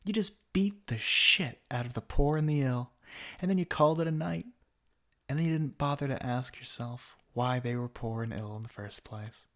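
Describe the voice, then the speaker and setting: A man's voice: medium pitch, normal speed, fairly bright tone, close to an everyday voice. A man playing a bitter, mocking villain in an ASMR roleplay monologue, speaking theatrically with sardonic asides and often dropping toward a whisper. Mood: scornful. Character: bitter, mocking male villain revolutionary monologuing at a fallen hero. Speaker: voice actor in an audio roleplay.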